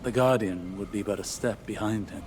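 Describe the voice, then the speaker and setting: A man's voice: on the low side, normal speed, darkish tone, a little hiss or hum, close to an everyday voice. A man playing a confident, scholarly mystic in a video game, explaining in a precise, intent way. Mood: intent, reasoning. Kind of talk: scripted video game drama.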